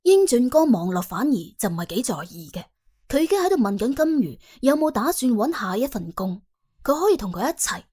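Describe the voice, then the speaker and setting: Lively, high-voiced Cantonese woman storyteller. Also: fairly quick, husky. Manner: animated, wry. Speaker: narrator. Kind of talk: colloquial comic audiobook storytelling.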